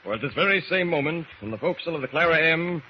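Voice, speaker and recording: A man's voice: on the high side, animated, racing, close to an everyday voice. A man narrating a 1940s radio serial in a dramatic style. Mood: suspenseful. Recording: muffled old radio recording with faint noise.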